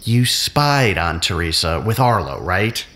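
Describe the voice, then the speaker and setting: Low, intense, obsessive captive. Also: male voice, big pitch swings, unhurried, a bit bright, heavily acted. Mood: angry, demanding. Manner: intense, commanding, brooding. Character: obsessive, manipulative male captive, intense and demanding. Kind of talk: audio drama acting.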